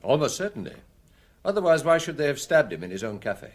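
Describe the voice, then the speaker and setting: A shrewd police detective in a British radio crime drama. His pitch swings freely as he explains things with deliberate, self-assured authority. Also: very animated. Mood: assured, explanatory.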